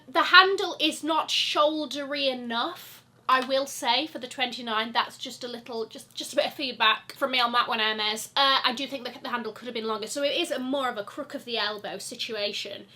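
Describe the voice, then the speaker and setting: Young woman, high voice, bright tone, nasal and congested from a cold, gushing fashion vlog chat. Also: normal speed. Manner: gushing, animated, chatty. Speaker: luxury fashion vlogger. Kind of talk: chatty sponsored wishlist vlog.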